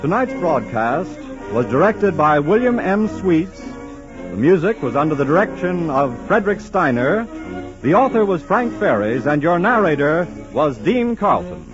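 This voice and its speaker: A man's voice: fairly high pitch, big pitch swings, measured pace, music in the background. A man announcing for a sponsor in an emphatic, formal, polished 1940s radio style. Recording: muffled 1940s radio broadcast.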